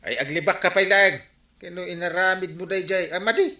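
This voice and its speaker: A man playing an excitable, blustering village captain in an Ilocano comedy radio drama, full of exclamations. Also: high voice, brisk. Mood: indignant. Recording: echoey room sound.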